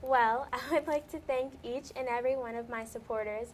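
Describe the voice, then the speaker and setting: Polite higher-voiced teenage girl. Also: fairly even intonation, fairly quick, slight background noise. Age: teens. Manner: polite, giggly, answers with "yes, sir". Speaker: interview guest, high school senior. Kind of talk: casual interview answers.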